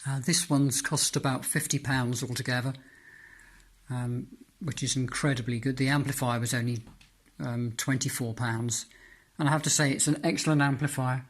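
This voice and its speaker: A man with a mid-pitched voice talks through a homemade hobby project in an informal video. His delivery is hesitant and piecemeal, with frequent pauses as he explains things. He is speaking indoors in a flat. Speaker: amateur radio hobbyist presenting own homemade antenna. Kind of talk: informal product demonstration vlog.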